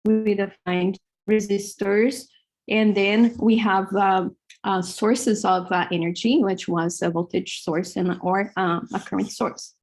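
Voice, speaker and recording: Slow-paced woman lecturer, Hebrew accent. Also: mid-range voice, steady tone, darkish tone. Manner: halting, many fillers, laughs often. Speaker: university lecturer. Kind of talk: classroom lecture. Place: large lecture hall.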